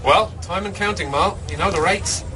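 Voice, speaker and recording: A man as a brusque, highish-voiced shady fence. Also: moderate pace, lightly acted. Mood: brusque, wry. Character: gruff, shifty male fence, brusque and businesslike. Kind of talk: radio drama acting. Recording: clear background noise.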